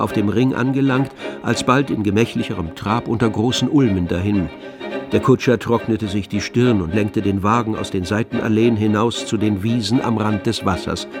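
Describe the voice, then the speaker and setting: A man reading scripted literary narration as the narrator of a radio play. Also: lowish voice. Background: music, with violin.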